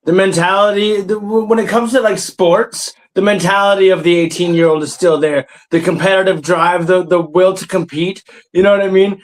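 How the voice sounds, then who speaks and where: A man's voice: high voice, steady tone, normal speed, hardly any pauses. A man in his thirties hosting a comedy podcast, talking loosely, laughing and swearing, with lots of fillers. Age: 30s. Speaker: podcast host. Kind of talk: casual comedy podcast chat.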